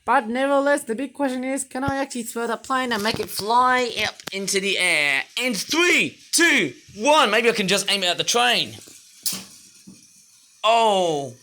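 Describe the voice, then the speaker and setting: A man with a high voice rambles through a toy review, chatty and playful. His voice sounds a little under the weather, and he breaks into sudden excited outbursts. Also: normal speed, a little hiss or hum. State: voice not fully well. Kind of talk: casual toy review vlog.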